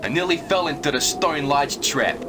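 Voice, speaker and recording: An actor playing a bored small-town station clerk who thinks himself a chess grandmaster, in an adventure game. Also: male voice, a little high, lively intonation, moderate pace, background noise, close to an everyday voice. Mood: smug.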